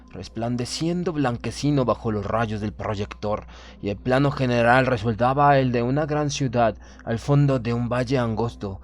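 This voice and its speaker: A man narrating a literary horror audiobook in a solemn, measured, formal manner. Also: mid-range voice. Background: background music.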